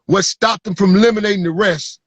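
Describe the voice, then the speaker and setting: A man's voice: sits high, fairly even intonation, fairly quick, no room sound. A man hosting a podcast in casual street slang, pressing his points and asking if the listener follows him. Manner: emphatic, street slang, asks "you feel me". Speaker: podcast host.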